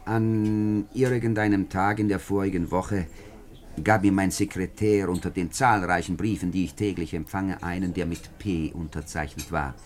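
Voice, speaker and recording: An actor playing a self-important middle-aged French parliamentary deputy in a 1950s German radio drama, speaking in a pompous, deliberate, emphatic way. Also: male voice, sits low, steady tone, lightly acted.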